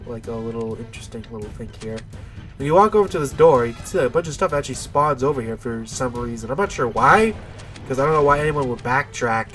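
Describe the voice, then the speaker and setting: A man's voice: medium pitch, fairly bright tone. Nasal, congested man commentating on gameplay. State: stuffy nose. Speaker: gamer giving video game commentary. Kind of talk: casual unscripted gameplay commentary.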